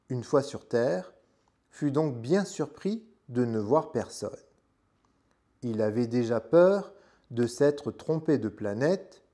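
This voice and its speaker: Slow, measured man reading a storybook aloud. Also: medium pitch, darkish tone. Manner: measured, deliberate phrasing with pauses. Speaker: French teacher reading aloud.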